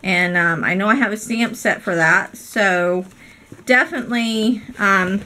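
Hoarse woman crafter, chatty vlog host, bright tone, lots of ums and apologies. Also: mid-range voice, a little slow, rough recording. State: hoarse from a cold. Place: indoor craft room. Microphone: overhead camera, speaker off screen.

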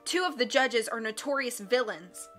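Lady doing an indignant boy in a storybook, earnest, bright forceful voice. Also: fairly high pitch, expressive, normal speed, faint music behind. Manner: declaring, indignant.

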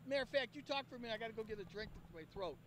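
A chatty man hosts a live stream outdoors on a handheld mic, his voice worn down by a cold. He sits very high in pitch. Faint event noise runs behind him. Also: lively intonation, rapid-fire, a bit bright. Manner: chatty and upbeat, coaxing guests. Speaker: host. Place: outdoor park event beside a van.

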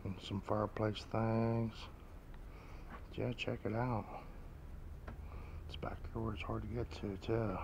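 A low-pitched man rambles casually as he films a walk-through vlog inside a large store. His delivery is low-key and flat, as if thinking aloud, with clear background ambience around him. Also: slow pace, pauses often, background noise. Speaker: vlogger. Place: large indoor antique mall, walking around.

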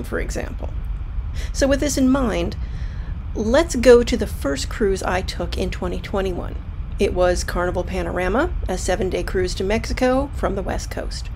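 A woman's voice: mid-range voice, a little mellow, slight background noise, low-quality recording. A woman travel vlogger narrating a scripted voice-over review in a wry, matter-of-fact way.

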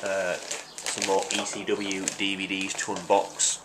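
A man's voice: low voice, monotone, a little slow. A young man in his twenties with a congested voice from hay fever, chatting casually and rambling with many ums as he unboxes items at home. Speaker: vlogger. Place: small room at home, indoors. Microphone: webcam at desk. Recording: noisy home webcam audio.

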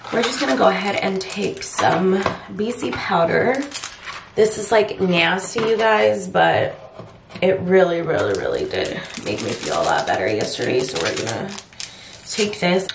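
A young woman with a cold chats to a handheld camera at home, stuffed up and worn out. Her low voice is gravelly with vocal fry, and she rambles along through lots of fillers. A faint hiss of room noise sits underneath. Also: sing-song, moderate pace. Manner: tired, low-energy, rambling with many fillers. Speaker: vlogger. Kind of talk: casual vlog chat to camera. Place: bedroom and then a kitchen at home, indoors. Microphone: handheld camera at arm's length.

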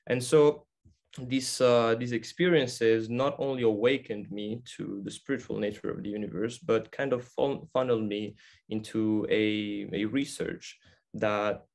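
A man's voice: lowish voice, gravelly, darkish tone. A young man from Italy with an Italian accent, giving an earnest talk to camera from an attic room at home, often restarting his sentences as he searches for words. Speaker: festival presenter.

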